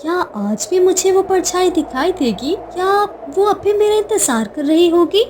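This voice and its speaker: Casting: timid orphan girl for a cartoon tale played by a woman, extremely high voice, anxious stammer, pleading. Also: lively intonation, normal speed, noisy background. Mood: anxious, curious. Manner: stammering, repeats words anxiously.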